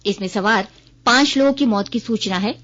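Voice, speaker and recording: A woman's voice: a little high, moderate pace, a bit bright. A woman reads a formal scripted regional news bulletin on the radio as the newsreader. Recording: dull lo-fi radio broadcast.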